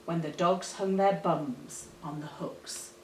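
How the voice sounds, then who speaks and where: A woman reading a rhyming children's story aloud in an expressive, dramatic, deliberate storytelling manner. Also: on the low side, a little hiss or hum. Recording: roomy, echoey, distant microphone.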